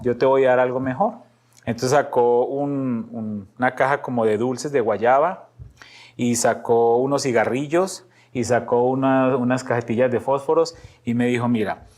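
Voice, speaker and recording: A man's voice: medium pitch, darkish tone. A middle-aged Colombian man with a paisa accent, telling personal stories casually as a podcast guest and acting out bits of dialogue. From Medellín, Antioquia, Colombia.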